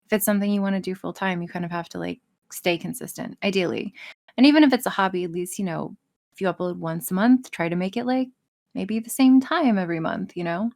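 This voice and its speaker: A woman's voice: mid-range voice, fast talker, creaky, a little mellow. A woman chatting casually as a podcast guest, blunt and emphatic, swearing freely. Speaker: podcast guest, audio roleplay artist. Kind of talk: casual, profane interview chat.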